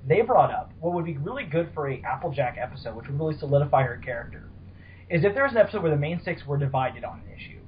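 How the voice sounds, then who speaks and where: A man's voice: a little high, big pitch swings, fast talker, slight background noise. A man hosting a casual fan discussion podcast, talking in an informal, rambling way. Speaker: podcast host. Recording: dull, lo-fi voice call audio.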